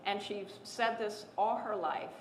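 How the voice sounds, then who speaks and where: A woman's voice: medium pitch, fairly even intonation, a little slow. A woman telling a family story on a panel, emphatic and repeating words for stress. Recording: echoey room with faint noise.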